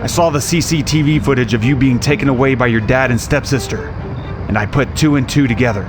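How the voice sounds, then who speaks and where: A mid-pitched young man in an audio drama, played by a male actor, reserved and blunt. His delivery is measured and matter-of-fact, hiding some conflict. Music plays clearly behind him. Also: close to an everyday voice. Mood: dry, composed. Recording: music under the voice. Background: background music.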